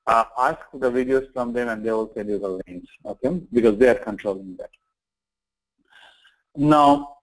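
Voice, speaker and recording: A man's voice: medium pitch, rough recording. A man teaching an online class informally, explaining things step by step with repetition and check-in questions. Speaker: online course instructor.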